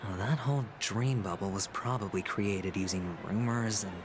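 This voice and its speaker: An actor playing a sly, smooth-talking man with a sinister streak in a video game, theatrical and smirking. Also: on the low side, background noise, lightly acted. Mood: brooding, muttering. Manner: theatrical, smirking, deliberate, breaking into whispers. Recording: music under the voice.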